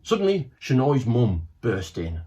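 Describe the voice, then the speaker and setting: A man reading his own children's book aloud, lively and animated, with asides to listeners. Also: mid-range voice, a little slow.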